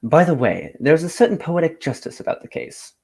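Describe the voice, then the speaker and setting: A man playing a confident, methodical gentleman detective in an old-time radio drama, with a formal, theatrical delivery. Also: on the high side, very animated, brisk, gravelly, husky, exaggerated voice. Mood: reflective.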